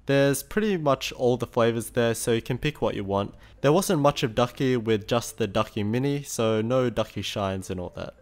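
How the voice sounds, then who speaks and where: A man's voice: medium pitch, lively intonation, moderate pace, few pauses, a little mellow. Easygoing young Australian man narrating. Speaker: vlogger. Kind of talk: voice-over narration.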